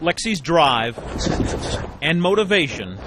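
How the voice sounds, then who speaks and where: Male news reporter, higher-pitched, emphatic feature storytelling in short phrases, noisy background. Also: big pitch swings, slow pace. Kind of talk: scripted feature narration.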